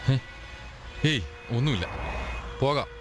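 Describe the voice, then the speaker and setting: A man playing a dutiful, sympathetic escort officer with a measured, hesitant manner in a radio drama. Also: medium pitch, noisy background, natural voice. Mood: businesslike.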